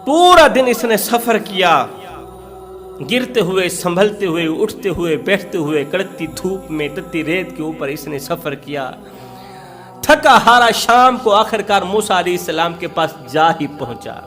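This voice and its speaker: A man's voice: sits high, expressive, a little slow, fairly bright tone, soft background music. A man giving an emotional Islamic sermon as a storyteller, dramatic and emphatic, repeating phrases for effect.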